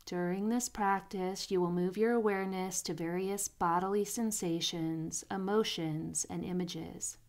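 A woman guiding a sleep meditation in a soothing, unhurried manner, pausing between phrases, with soft, breathy phrase endings. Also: on the low side, fairly even intonation. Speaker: meditation guide.

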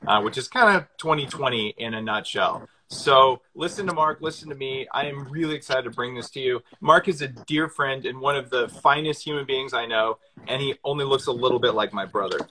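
Bright-voiced man in his forties. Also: mid-range voice, brisk, hardly any pauses, a little hiss or hum, rough recording. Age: 40s. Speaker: host. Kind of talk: casual live video chat. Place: indoor kitchen with high ceiling. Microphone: phone front camera at arm's length.

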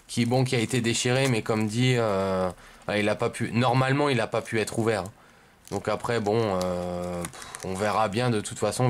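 A man's voice: mid-range voice, a little hiss or hum. A man from giving casual, hesitant, rambling unboxing commentary indoors at a table. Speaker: video host, card collector.

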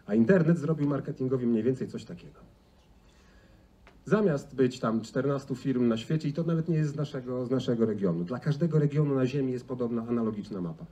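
A man's voice: medium pitch, animated, brisk, dark tone. A middle-aged man giving an informal conference talk in a conversational way, often adding tag questions. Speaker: conference speaker. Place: large indoor auditorium stage. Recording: distant, noisy stage sound in a hall.

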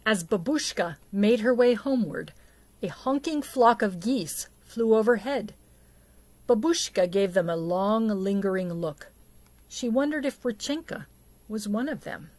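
Middle-aged female children's storyteller, unhurried delivery, medium pitch, expressive and deliberate. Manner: deliberate, asks the listener questions, dramatic hushes and emphasis. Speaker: storyteller for a church children's program. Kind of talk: expressive picture-book reading and talk to young children. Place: small indoor setup before a plain backdrop.

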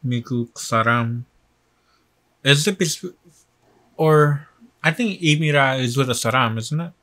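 A young man casually commenting while practicing a language lesson in a small room, slow and self-deprecating. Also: medium pitch, sharp tone. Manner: slow, self-deprecating, repeats words aloud while learning. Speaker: vlogger. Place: small room indoors, bedroom.

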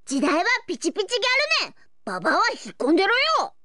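Shrill, fast, bratty girl. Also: very animated, fairly bright tone, no room sound, studio quality. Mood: mocking. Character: bratty, sharp-tongued young girl. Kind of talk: video game anime character voice acting.

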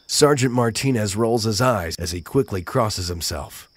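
A man's voice: fairly low pitch, expressive, normal speed, a little hiss or hum. A man delivering dramatic scripted narration for an audio drama. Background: prominent music.

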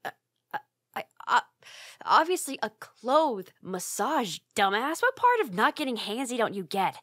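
A woman's voice: on the high side, sing-song, measured pace, no echo, studio quality. A woman playing a flustered, easily embarrassed tsundere roommate in an ASMR roleplay, stammering and defensive but secretly fond. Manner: stammering, defensive, huffy, hesitant.

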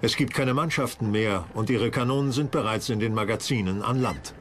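A man's voice: mid-range voice, brisk, fairly bright tone, a little hiss or hum. A man reading scripted narration for a history documentary.

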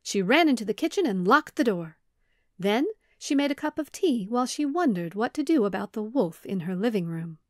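A woman's voice: on the high side, animated, normal speed, a little mellow, no room sound. Woman storyteller with a dry, close sound. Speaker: children's librarian, storyteller. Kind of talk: scripted storytelling for children.

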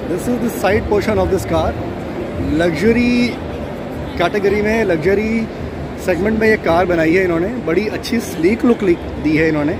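A middle-aged man narrating a casual walkthrough of cars, mixing in English phrases and repeating names. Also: high voice. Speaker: vlogger touring a car show. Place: large indoor auto expo hall. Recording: loud crowd hall noise.

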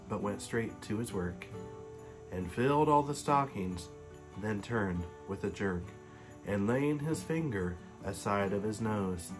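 A man in his late twenties, sitting on a living room couch and reading a Christmas story aloud in a gentle, casual way. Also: medium pitch. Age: late 20s. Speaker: storybook reader. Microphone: webcam facing him at close range.

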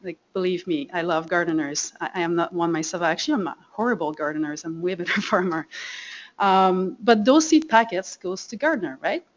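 A woman's voice: lowish voice, expressive, a little fast, highs cut off. A woman from Quebec with a French accent, giving a chatty, self-deprecating talk at a farming conference, full of ums and restarts. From Quebec, Canada. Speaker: conference speaker, seed farmer. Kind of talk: live conference presentation. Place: conference room.

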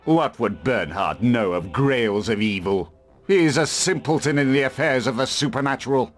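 A man's voice: medium pitch, moderate pace, faint music behind, natural voice. An actor playing a zealous, puritanical witch hunter in a video game cutscene, theatrical and disdainful in manner. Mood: scornful, zealous.

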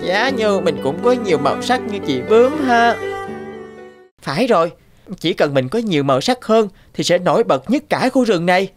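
A woman's voice: mid-range voice, very animated, normal speed, close to an everyday voice. A woman voicing a naive, eager young stick insect in a cartoon fable, childlike and earnest. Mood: hurt, envious. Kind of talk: animated fable dialogue. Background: prominent background music.

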